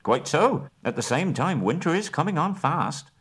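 A man voicing a small, shrewd male hobbit who talks in a brisk, businesslike, genteel way. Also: a little high, sing-song, a bit bright, breathy edge, voice pushed up, heavily acted.

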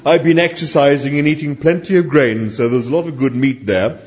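A man's voice: on the high side, brisk, darkish tone, natural voice. A man voicing a contented talking bovine animal from a comic story, offering itself politely like an obliging waiter. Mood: contentedly obliging. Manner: polite, deferential waiter-like salesmanship. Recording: dull, noisy recording.

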